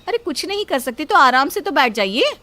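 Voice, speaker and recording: Sharp-tongued daughter-in-law, played by a woman storyteller, high-pitched, taunting, complaining, family drama tale. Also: very animated, brisk, noisy background. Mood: scornful nagging.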